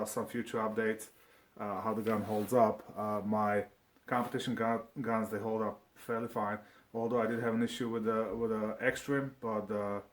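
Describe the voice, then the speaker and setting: This is a man with a slightly low voice and a Slovak accent. He talks like a casual video reviewer working without a script. His delivery is halting and hesitant, and he often doubles back on his words. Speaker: video presenter reviewing firearms. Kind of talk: casual unscripted product review.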